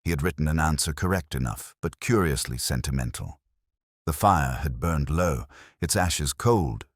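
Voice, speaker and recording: A man acts out a world-weary detective with an extremely low voice and wide, rolling intonation. He narrates a mystery audio drama in measured, literary phrases with a brooding, wry edge. The sound is close and dry. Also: husky, dry recording, full character voice. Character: brooding, world-weary male Oxford detective recalling an old case.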